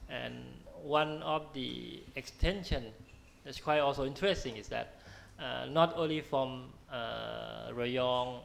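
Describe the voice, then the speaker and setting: A man's voice: medium pitch, slow pace, creaky, fairly bright tone, slight background noise. A man on a discussion panel, answering questions in a hesitant way with many restarts. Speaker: panel speaker.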